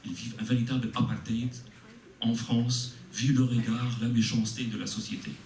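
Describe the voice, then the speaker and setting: A man with a mid-range voice poses lengthy, courteous questions, pausing often on hesitant fillers. The room is echoey and he sounds far from the mic, with faint noise underneath. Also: medium pitch, little pitch movement, darkish tone. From Tunisia. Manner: formal, deferential, long-winded, hesitant fillers. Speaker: audience member asking questions. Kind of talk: formal question from the floor. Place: meeting room with audience.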